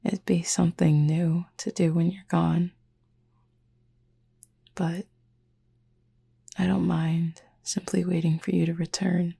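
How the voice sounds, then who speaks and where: Cupid roommate, sleep-aid roleplay, female actor: reserved and devoted, hushed breathy delivery, very even pitch, dark soft tone, measured formal phrasing. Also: full character voice. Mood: gentle, fond. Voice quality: breathy, husky, near-whisper. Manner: measured, formal wording with long pauses.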